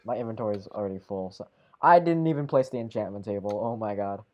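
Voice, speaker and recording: A man's voice: fairly low pitch, muffled recording. Rambling man chatting over a game. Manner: rambling, self-correcting, quick exclamations. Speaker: game player. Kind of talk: casual gaming chat.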